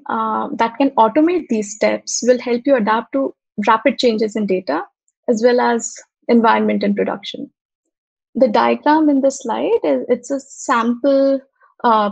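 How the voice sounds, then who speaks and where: A young woman presenting a technical talk over a video call, explaining step by step with frequent pauses and fillers. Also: on the high side, gravelly, husky, no echo. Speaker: software engineer presenting. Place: home room, on video call. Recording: slightly muffled, dry video call audio.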